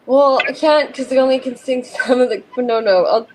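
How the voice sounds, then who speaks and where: A woman's voice: high voice, moderate pace, noisy background. A woman joining a livestream chat, rambling and giggly as she pokes fun at herself. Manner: giggly, rambling, self-deprecating.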